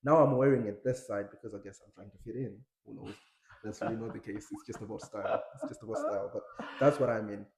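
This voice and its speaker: A man with a Zimbabwean accent in a reflective, casual conversation, halting often with fillers and restarts. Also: lowish voice, creaky, roomy sound, background noise.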